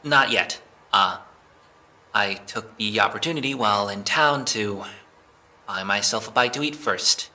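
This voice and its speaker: A man's voice: on the low side, a little slow, pauses often, bright, forceful tone. A man playing a flustered, defensive young suspect in an audio drama police interrogation, hesitant and rambling as he explains himself. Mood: anxious, rambling.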